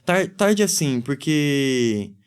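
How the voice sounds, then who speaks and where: A man's voice: medium pitch, drawn-out words, clean tone. A man in his thirties with a Brazilian accent, a podcast guest chatting casually about his music, rambling with lots of fillers. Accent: Brazilian Portuguese, São Paulo countryside. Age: 30s. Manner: relaxed, rambling, many fillers. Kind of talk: casual chat.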